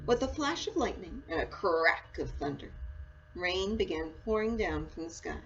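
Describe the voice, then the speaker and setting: A woman reading a children's storybook aloud in an expressive, dramatic and deliberate way. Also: on the low side. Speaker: story reader, school read-aloud. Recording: dull lo-fi sound with faint noise.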